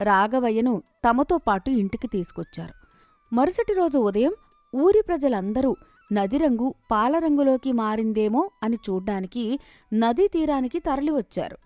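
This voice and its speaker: A woman narrating a cartoon moral tale in a steady, clear storytelling manner. Her voice sits fairly high and sounds dry and close to the mic. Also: no room sound.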